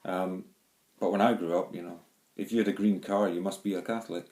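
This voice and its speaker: A man's voice: deep voice, steady tone, fairly quick, a little mellow. A middle-aged man from Glasgow with a Glaswegian Scottish accent, giving a rambling home video monologue with many ums and restarts. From Scotland. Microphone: handheld phone or camera. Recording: echoey room.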